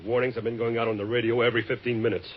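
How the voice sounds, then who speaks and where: An actor playing a gruff, terse, commanding police captain under pressure in a 1950s radio drama. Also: male voice, medium pitch, level tone, rapid-fire, lightly acted. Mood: harried. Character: harried, gruff big-city police captain. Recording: muffled, noisy 1950s radio recording.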